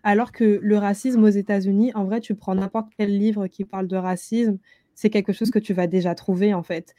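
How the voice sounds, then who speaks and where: Bright-voiced young woman, close dry sound. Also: medium pitch, fairly even intonation, normal speed, no echo. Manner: informal, colloquial speech. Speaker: book club host. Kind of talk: casual book discussion.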